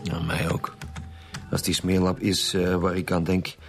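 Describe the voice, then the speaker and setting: Flemish police boss, clipped n wary, deep voice, radio play. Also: male voice, monotone, unhurried, faint music behind. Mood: curt. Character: terse, wary male chief inspector, head of murder squad. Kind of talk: radio drama acting.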